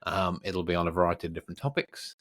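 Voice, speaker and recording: A man co-hosting a podcast, chatting casually and off the cuff in a rambling, playful, excitable way. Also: sits low, quick pace. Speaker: podcast co-host. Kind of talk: casual unscripted podcast chat.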